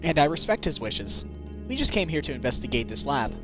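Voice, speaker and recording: A man playing an earnest, wry defense lawyer with a measured manner in a video game fandub. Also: on the high side, sing-song. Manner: measured, earnest, dry asides. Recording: echoey room, music behind.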